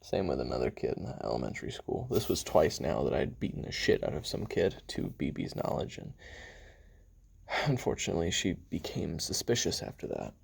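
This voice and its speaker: Man acting as hot-tempered male assassin, husky, swinging intonation, casual cursing, pause-laden memoir monologue, audio roleplay. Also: very animated, heavily acted. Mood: brooding, tense. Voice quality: very deep, gravelly, husky. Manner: halting, casual, profane, with sighs and trailing pauses.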